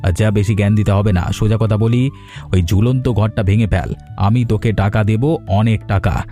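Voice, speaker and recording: A man's voice: lowish voice, normal speed, warm tone, natural voice. A man playing a wealthy, scheming villager in a moral-story cartoon, haughty, needling and condescending. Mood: condescending, persuasive. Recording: music behind the voice.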